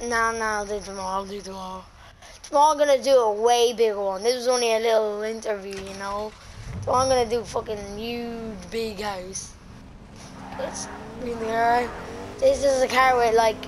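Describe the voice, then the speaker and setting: A young woman talks her viewers through a driving game in loose, sweary slang. Her voice is hoarse and sits a bit high, and she sounds thoroughly worn out. The recording has a steady noisy hiss under her, with game engine sounds behind. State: exhausted and hoarse. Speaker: gaming video creator. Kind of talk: casual gameplay commentary.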